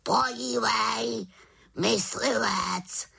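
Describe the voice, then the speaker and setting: A woman voicing a grave male talking raven in a storybook, croaky, drawn-out and reproachful. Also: a little high, sharp tone, voice pushed up, heavily acted. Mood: croaking, surprised.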